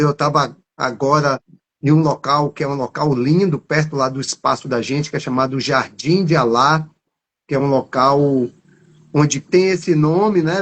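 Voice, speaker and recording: Rambling Bahian man, slightly high voice. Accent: Bahian Brazilian Portuguese. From Salvador, Bahia, Brazil. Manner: warm, rambling, many fillers like né and tá. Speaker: capoeira master. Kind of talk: interview guest.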